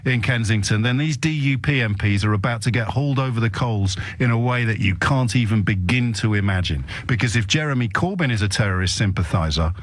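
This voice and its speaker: A man's voice: on the low side, brisk, hardly any pauses, no room sound. A man hosting a radio phone-in, talking in an impassioned, indignant way with emphatic repetition, speaking into a studio microphone. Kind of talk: opinionated talk radio monologue.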